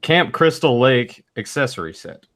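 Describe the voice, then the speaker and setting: A man co-hosting a casual podcast chat, speaking haltingly and often correcting himself mid-sentence. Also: medium pitch, sing-song, fairly bright tone. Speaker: podcast co-host. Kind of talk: casual chat about collecting and movies.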